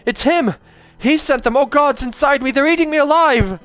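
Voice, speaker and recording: A man's voice: extremely high pitch, normal speed, a bit bright, faint music behind, pitched above the performer's own voice. A man voicing an anguished, panicked young savage shaman girl in a fantasy audiobook, crying out. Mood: terrified, anguished.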